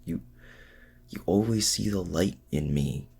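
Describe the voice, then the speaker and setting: An extremely low, husky male voice with plenty of fry , a man acting out the part. He is a vulnerable, lovestruck supervillain in an intimate ASMR roleplay. His delivery is halting and earnest, with a protective tenderness. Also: animated, a little mellow, heavily acted. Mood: worried, pleading. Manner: halting, earnest, with hesitant restarts.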